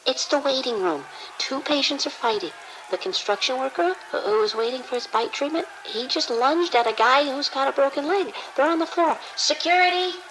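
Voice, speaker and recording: A woman playing a frantic triage nurse in an audio drama, breathless and halting with panic. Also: sits high, fairly bright tone, slight background noise, rough recording. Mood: panicked. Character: frantic female triage nurse in overwhelmed hospital.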